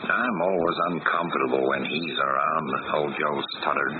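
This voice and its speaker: An actor playing a morose, sardonic dead church organist, sour and curt, in a 1940s radio drama. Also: male voice, a bass voice, steady tone, moderate pace. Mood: bitter, sardonic. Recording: very noisy, tinny old radio.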